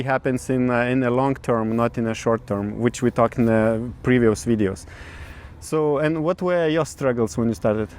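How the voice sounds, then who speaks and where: A man in his thirties from Lithuania, speaking English with a Lithuanian accent in casual conversation, often pausing to search for words. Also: mid-range voice. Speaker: podcast co-host. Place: outdoors in a city.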